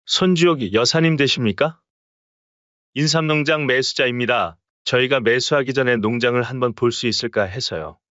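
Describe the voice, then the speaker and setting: A man's voice: medium pitch, normal speed, a bit bright, no room sound, lightly acted. Guy doing a suit-wearing middle-aged buyer, super formal, muffled sound. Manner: stiffly formal, polite.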